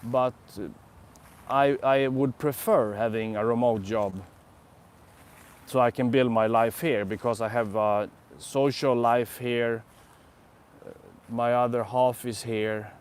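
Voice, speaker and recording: A man's voice: mid-range voice, steady tone, takes it slowly, long pauses, a little hiss or hum. A young Swedish man with a Swedish accent, chatting casually on a live stream in a city park, musing and answering viewers' comments. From Sweden. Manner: rambling, reflective, answers chat comments. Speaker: vlogger.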